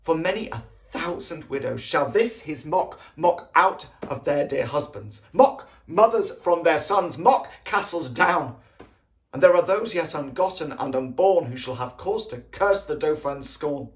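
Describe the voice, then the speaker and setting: A man playing a proud young warrior king, coldly menacing, in a deliberate, emphatic Shakespearean stage monologue. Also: a little high, expressive. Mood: threatening. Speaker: actor performing an audition monologue.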